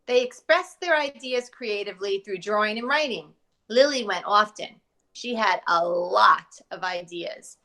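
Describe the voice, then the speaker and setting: A woman's voice: mid-range voice, a little slow, sharp tone. A woman speaking expressively and directly to children as a teacher doing a storybook read-aloud. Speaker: teacher reading aloud to pupils.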